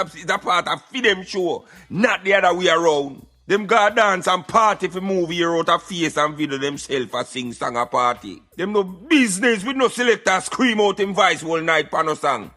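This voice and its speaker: A man's voice: sits high, sharp tone. A Jamaican man giving opinionated conversational commentary on a radio show, in patois with emphatic interjections.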